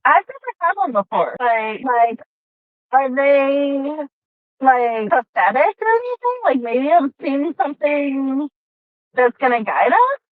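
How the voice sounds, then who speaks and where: A woman's voice: sits high, very animated, slow pace, no echo, exaggerated voice. A woman playing a naive, curious mechanical being in a tabletop game, hesitant and full of wonder. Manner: hesitant, wondering, peppered with questions. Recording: muffled tinny phone-like audio from a car.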